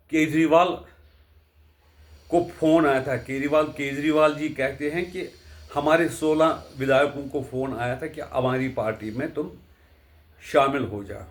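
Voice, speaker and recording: A man gives unscripted political commentary in a deliberate, halting way, often posing rhetorical questions. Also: on the high side. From India.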